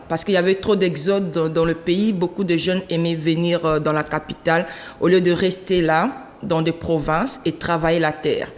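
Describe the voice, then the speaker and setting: A woman in her late thirties from the Democratic Republic of Congo, telling her story as an interview guest, animated and laughing often. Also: low-pitched. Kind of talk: conversational interview. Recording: long hall reverb.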